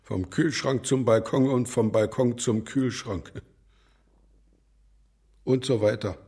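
A husky, slow older gentleman. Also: mid-range voice, fairly even intonation. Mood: hesitant, muddled. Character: grumpy, hesitant, lonely older gentleman. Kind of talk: children's radio play acting.

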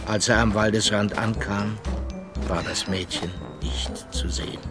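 A male narrator tells a children's story. His voice is fairly low and his delivery is slow and measured. Music is clearly audible underneath. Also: fairly even intonation. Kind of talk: scripted story narration for a children's radio play.

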